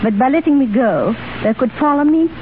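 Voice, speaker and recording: A woman's voice: sits high, lively intonation, brisk. A middle-aged woman giving a scripted radio interview in a formal, carefully worded storytelling manner, 1930s style. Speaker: guest recounting experiences. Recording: noisy, narrow-band 1930s radio broadcast.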